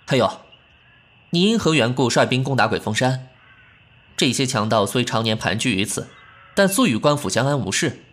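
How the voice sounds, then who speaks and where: An actor playing a proud, sharp-tongued but caring young man in an ancient-costume audio drama, huffy and scolding. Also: medium pitch, lively intonation, moderate pace, pauses often, close to an everyday voice. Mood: stern questioning. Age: young adult.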